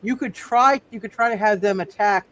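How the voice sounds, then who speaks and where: A man refereeing a casual tabletop roleplay, halting and often repeating his words and phrases. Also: high-pitched, a bit bright. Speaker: game master of a live superhero tabletop roleplay. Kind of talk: casual, improvised game refereeing.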